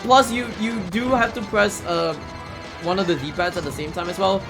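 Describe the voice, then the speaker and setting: Higher-voiced man chatting over game music. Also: moderate pace, creaky. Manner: informal, chatty, exclaims while playing. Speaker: speedrunner playing while talking. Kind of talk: casual live speedrun commentary. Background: video game music.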